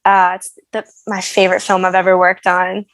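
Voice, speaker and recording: An American woman telling stories in a casual interview, animated and self-deprecating in her humour. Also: on the low side, normal speed, dry recording. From United States. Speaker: guest, actress and singer.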